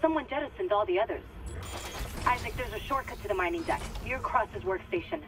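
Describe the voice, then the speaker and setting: A woman's voice: medium pitch, animated, brisk, natural voice. A woman playing a hard-bitten, cynical spaceship crew officer, blunt and naturalistic, for a video game. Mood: brisk, relieved. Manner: blunt, sardonic. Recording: distant comms call, noisy background.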